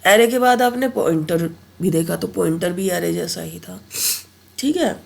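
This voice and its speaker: A woman's voice: lowish voice, a little slow, vocal fry. A woman with a sore throat and a cold, teaching a programming lesson in a casual way and often asking her students "okay?" with a lift in her voice. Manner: keeps checking in with a rising "okay?". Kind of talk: casual Hindi coding lesson.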